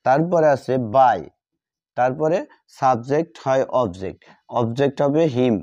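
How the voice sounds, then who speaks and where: Brief: male tutor, slow and repetitive, mellow, dull lo-fi sound. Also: medium pitch. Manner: slow, repeats words while writing them out. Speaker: English grammar teacher. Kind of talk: slow classroom explanation while writing. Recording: dull lo-fi audio.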